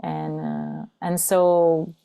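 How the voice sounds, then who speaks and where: A woman whose first language is Portuguese, a guest speaking in casual conversation, halting and searching for her words with many restarts. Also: deep voice, very animated, vocal fry, mellow, clear, resonant tone. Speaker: guest, training facilitator.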